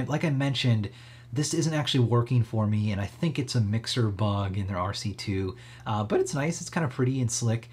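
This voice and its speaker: A man's voice: medium pitch, darkish tone, slightly rough. A man in his thirties hosting a live stream, talking through a casual tech demo in an easygoing, rambling way, thinking aloud. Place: small cluttered indoor room.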